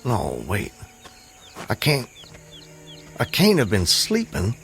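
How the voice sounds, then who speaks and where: A man's voice: fairly low pitch, huge pitch swings, slow pace, creaky, fairly bright tone, soft background music, heavily acted. An actor playing a bewildered, hesitant man walking home in an old-time radio drama, uneasy and questioning.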